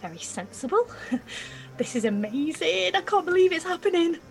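A young woman playing an excitable, anxious nineteen-year-old trainee beekeeper in an audio drama. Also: extremely high pitch, normal speed, gravelly, soft background music, full character voice. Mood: excited. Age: young adult. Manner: breathless, excitable, cheeky.

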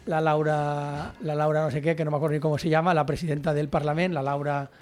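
Fast-talking Catalan man, rambling. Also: on the high side, level tone. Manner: rambling, many restarts and "o sea" fillers. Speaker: guest writer and journalist. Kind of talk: casual interview chat.

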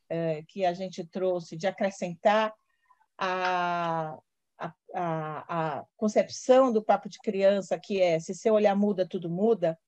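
A woman with a Bahian accent hosting a talk show, speaking casually in an unhurried way with hesitant restarts. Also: medium pitch, mellow, no echo. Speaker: host. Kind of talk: casual spoken opening.

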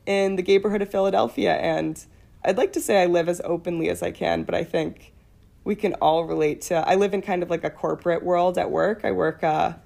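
A young woman with a fairly deep voice, chatting casually, laughing often and swearing freely. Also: steady tone, a little fast, vocal fry, dark tone. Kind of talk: casual chat.

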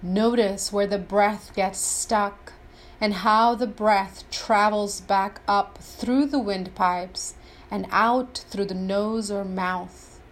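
Slow-paced woman guiding a meditation. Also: mid-range voice, steady tone. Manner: deliberate, reverent, instructional. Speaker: meditation guide. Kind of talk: scripted guided meditation.

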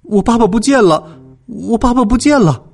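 A man's voice: high-pitched, lively intonation, moderate pace, breathy edge, voice pushed up. A man voicing an anxious young child in a storybook, pleading urgently and stumbling over words. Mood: panicked. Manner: urgent, stammering, near tears.

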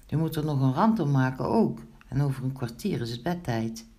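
A woman's voice: extremely low pitch, lively intonation, a little fast, dark tone. A woman playing a strict, no-nonsense mother in a children's story, firm and scolding.

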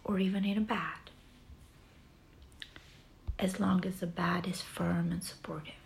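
A woman guiding a yoga nidra relaxation session in a soft, soothing, breathy way, with long pauses between short phrases. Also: lowish voice, fairly even intonation, slight background noise, poor audio. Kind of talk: guided relaxation meditation.

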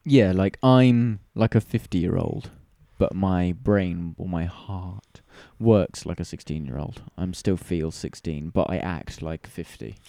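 A man's voice: low-pitched, takes it slowly, creaky, few pauses, warm tone, dry recording, studio quality. A man in his early twenties in casual, bantering conversation. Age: early 20s.

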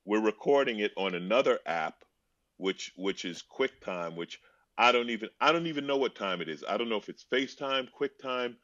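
A man with a deep voice, answering fan questions with wry, deadpan jokes and drawn-out punchlines during a video call. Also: dry recording. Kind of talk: joking video-call chat. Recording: dull, lo-fi video-call audio.

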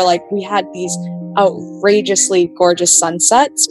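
A woman's voice: medium pitch, low-quality recording. A woman whose first language is Vietnamese, from New Mexico, telling her life story as a podcast guest in a casual, thoughtful way with many fillers. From New Mexico, USA. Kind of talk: reflective personal storytelling. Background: soft music.

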